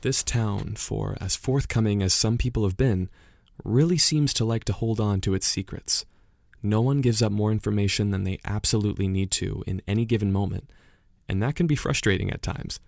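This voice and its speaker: An actor playing an earnest investigative podcast host, a man narrating in a measured, reflective, portentous documentary style. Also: on the low side, vocal fry, darkish tone, no room sound, clean studio sound. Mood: grave, intrigued. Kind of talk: scripted documentary narration in audio drama.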